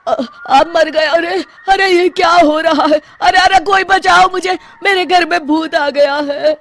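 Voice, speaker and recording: A woman's voice: extremely high pitch, a little slow, a bit bright, voice pushed up, exaggerated voice. A woman voicing a frightened male village headman in a cartoon story, panicky and pleading for mercy. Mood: panicked.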